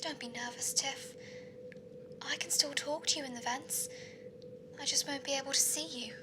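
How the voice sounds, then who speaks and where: A woman playing a calm, disembodied ship computer in a sci-fi audio drama, speaking softly, measuredly and reassuringly. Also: high-pitched, moderate pace, background noise, natural voice.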